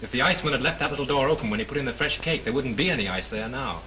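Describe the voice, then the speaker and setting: An actor playing a sharp young man playing detective at a crime scene, brisk and instructive in 1930s film style. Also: mid-range voice, lively intonation. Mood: curious. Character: sharp young male screenwriter sleuth. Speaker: amateur sleuth in a 1930s film. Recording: hissy old 1930s film soundtrack.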